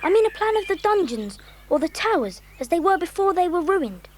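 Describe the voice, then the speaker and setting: High-voiced, sensible boy adventurer. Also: very animated, a little fast, darkish tone. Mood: polite, curious. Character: sensible, polite, well-spoken boy leading child adventurers. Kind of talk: children's audio drama acting. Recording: clear background noise with birdsong.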